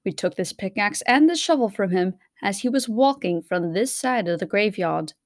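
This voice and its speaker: A woman's voice: medium pitch, expressive, moderate pace, no room sound, studio quality, lightly acted. A woman playing a dutiful watchman making a report in an audio drama. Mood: suspicious. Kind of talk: audio drama acting of modernized Shakespeare.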